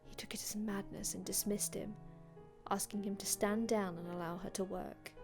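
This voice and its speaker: A wistful vampire from another age , acted by a woman, recounts old tales in an ASMR roleplay. The delivery is hushed and breathy, with little swing in pitch and long dramatic pauses. The wording is formal and antique, and soft music plays underneath. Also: steady tone, lightly acted. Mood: wistful, hushed storytelling. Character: melancholy otherworldly vampire from an older time, telling old tales.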